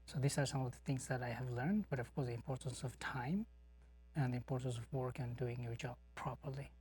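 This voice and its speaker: Husky man guest from Ethiopia. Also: mid-range voice, fairly even intonation, a little mellow. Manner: measured, with many fillers. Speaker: guest, professor. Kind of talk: studio interview.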